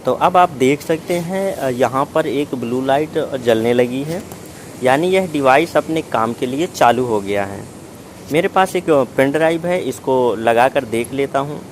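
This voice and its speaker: A man's voice: medium pitch, lively intonation, measured pace, a little hiss or hum. A man narrating a product unboxing in a methodical, explanatory way, step by step. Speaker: product reviewer.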